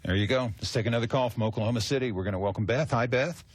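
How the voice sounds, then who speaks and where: A man hosting a call-in radio show, brisk and jokey, taking calls. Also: medium pitch, husky. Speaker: radio host.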